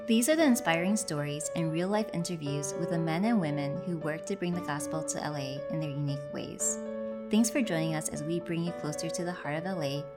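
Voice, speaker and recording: A woman reading a scripted promotional introduction for a show. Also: fairly low pitch. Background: guitar music.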